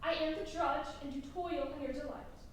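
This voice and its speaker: A woman's voice: high-pitched, steady tone, normal speed, a little hiss or hum, close to an everyday voice. A woman playing a fussy, aching old nurse. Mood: scolding. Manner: complaining, dawdling, theatrical. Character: fussy old female nurse, achy and teasing. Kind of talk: stage Shakespeare acting. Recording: echoey hall from far off.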